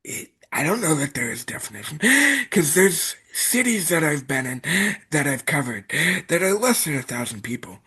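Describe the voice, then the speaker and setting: Husky-voiced man, hesitant. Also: fairly high pitch, very animated, normal speed, a bit bright. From Iowa, United States. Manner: hesitant, filler-heavy, trailing off. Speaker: podcast guest. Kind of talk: casual chat.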